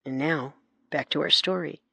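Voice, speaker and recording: A woman co-hosting a historical radio drama, giving measured, scripted documentary narration. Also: deep voice, creaky, bright, forceful tone, soft background music. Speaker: narrator and co-host.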